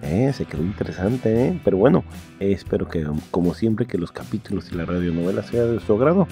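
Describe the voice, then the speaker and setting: Guy hosting a podcast, mellow fry voice, chatty intro, light music under. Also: lowish voice, expressive, a little fast. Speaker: podcast host. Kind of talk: casual introduction to a radio serial.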